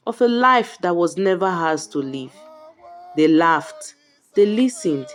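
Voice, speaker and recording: A woman with a fairly low voice narrates a folktale as a storyteller. Her delivery is slow and suspenseful, broken into deliberate short phrases, and her pitch moves expressively over background music. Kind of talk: dramatic scripted folktale narration.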